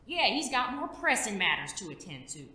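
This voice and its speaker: A woman's voice: mid-range voice, very animated, moderate pace, sharp tone. A woman playing an eager, mouthy villain's minion in an audio drama, in an echoing space. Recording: echoing room sound.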